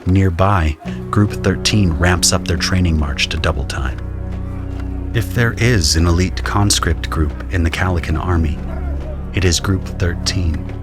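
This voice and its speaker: Deep-voiced man narrating an audio drama. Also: measured pace, music in the background. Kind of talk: scripted first-person audio drama narration.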